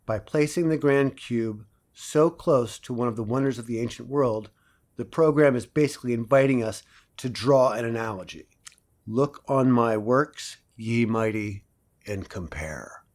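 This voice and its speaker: A man's voice: medium pitch, natural voice. A man playing a self-assured professor in an audio drama, lecturing didactically and theatrically as he prompts his class. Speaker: university lecturer.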